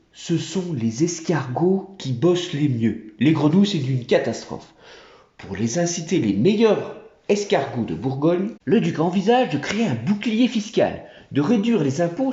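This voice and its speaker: A man doing a fawning monkey general in a storybook tale, a chattering tax collector who talks up his king. The voice is raised and lively, swooping up and down, with a mellow tone. It sits in a roomy, dull-sounding recording. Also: on the high side, measured pace. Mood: conspiratorial. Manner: obsequious, chattering.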